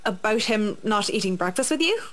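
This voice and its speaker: A woman's voice: medium pitch, expressive, a bit bright, natural voice. A woman playing a discreet, frank and courteous female employee in a visual novel game. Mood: questioning. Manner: formal, measured, courteous.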